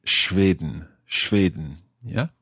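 Deep husky man teaching. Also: lively intonation, bright, forceful tone, dry recording, clean studio sound. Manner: clear, patient, teacherly, repeats phrases slowly. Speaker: language course host. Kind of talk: scripted podcast lesson chat.

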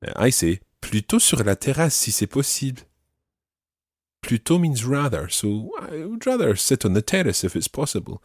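A man teaching French, patiently explaining and repeating phrases slowly. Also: mid-range voice, very animated, dry recording, studio quality. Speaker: language teacher.

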